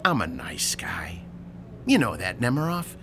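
A man's voice: sits low, very animated, a little slow, music in the background, full character voice. An actor playing a pushy, sarcastic older landlord in a radio drama, lecturing his tenant about rent. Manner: sarcastic, lecturing, theatrical swings from shouting to hushed.

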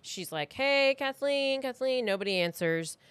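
A woman's voice: sits high, expressive, measured pace, a bit bright, smooth, dry recording. A woman hosting a true crime podcast, telling the story in a casual, conversational way with informal, blunt asides, close to the microphone.

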